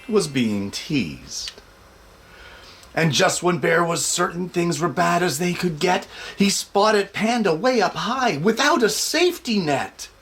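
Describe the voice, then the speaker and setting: A man hosting a show for families and reading a children's picture book aloud in an expressive, measured way. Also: fairly high pitch. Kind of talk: casual introduction, then expressive storybook read-aloud.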